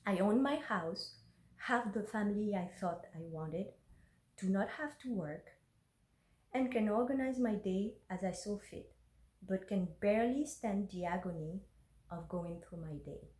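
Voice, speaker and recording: A woman whose first language is French speaks slowly and hesitantly, with many ums and uhs. She talks into her phone in a roomy space, and her voice carries an echo. She sounds like someone sharing a personal vlog. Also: medium pitch, a little mellow. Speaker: intuitive energy worker. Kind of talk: personal vlog and reading her journal aloud.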